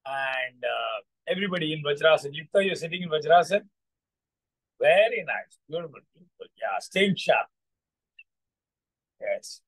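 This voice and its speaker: A man with an Indian accent hosting as a coach, telling motivational stories in a halting, repetitive, encouraging way. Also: fairly high pitch, dry recording, poor audio. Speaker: coach and host. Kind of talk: motivational storytelling to an online group.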